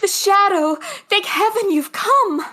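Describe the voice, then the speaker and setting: A woman's voice: extremely high pitch, a little slow, sharp tone, slight background noise, full character voice. A woman playing a witty, spirited society lady in an old-time radio drama, theatrical and arch in manner. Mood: frightened.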